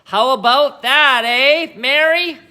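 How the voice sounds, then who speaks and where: A man voicing a loud, gleeful, boastful old grandmother who shouts and cackles in a storybook reading. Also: extremely high pitch, takes it slowly, pitched above the performer's own voice, full character voice. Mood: gleeful boasting.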